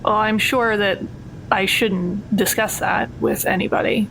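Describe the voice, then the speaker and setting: Woman playing deadpan, guarded young-offender type. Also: measured pace, vocal fry, poor audio. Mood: guarded, dry. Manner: terse, dry, deadpan. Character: guarded, sardonic woman jailed for theft. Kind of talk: improvised tabletop roleplay. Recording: rumbly background noise.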